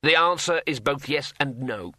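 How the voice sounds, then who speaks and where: A man's voice: mid-range voice, animated, moderate pace, bright, forceful tone, dry recording, studio quality. A man voicing a proud, blunt, stoic master craftsman in an audiobook, speaking in an emphatic, declamatory way. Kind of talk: audiobook character voice.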